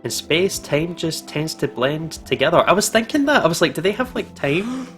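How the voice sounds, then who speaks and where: A man's voice: fairly high pitch, lively intonation, normal speed, a bit bright. A man hosting a casual let's play, commenting in a chatty, informal way. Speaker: gaming video host. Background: game music.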